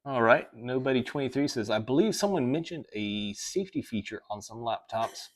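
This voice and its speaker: A man's voice: medium pitch, lively intonation, moderate pace. A man with a Southern US accent hosting a casual tech livestream, rambling, correcting himself and reading viewer chat aloud. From American South. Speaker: livestream host. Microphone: desk microphone.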